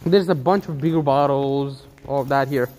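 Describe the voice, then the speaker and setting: A man's voice: medium pitch, moderate pace, a little mellow, slight background noise. Talkative young man filming a walk. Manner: talkative, explains what he sees. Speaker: vlogger filming. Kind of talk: casual chat. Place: large abandoned factory indoors. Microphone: handheld camera while walking.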